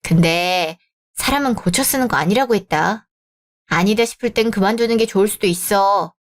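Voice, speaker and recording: A woman's voice: medium pitch, normal speed, lightly acted. Woman as a blunt young office friend. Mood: frank. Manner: blunt, advising. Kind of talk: radio drama acting.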